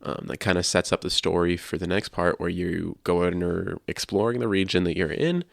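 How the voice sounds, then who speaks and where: A man with a low voice and plenty of vocal fry, recorded dry and close. He talks as a podcast co-host, explaining his opinions in a measured, conversational way. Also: no room sound. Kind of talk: casual discussion of video games.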